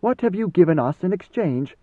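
A man's voice: high voice, animated, fairly quick, pitched above the performer's own voice. A man voicing a proud male warrior who is blunt, wary and full of challenging questions, in an audiobook reading. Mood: resentful, challenging. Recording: slightly roomy, phone-like narrow sound.

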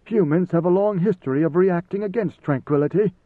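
A man voicing an ancient, godlike ruler who speaks in a calm, knowing, lordly and deliberate way, as in an audiobook. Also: high voice, pitched above the performer's own voice. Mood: wry, imperious. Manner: measured, deliberate, formal. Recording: slightly roomy, phone-like narrow sound.

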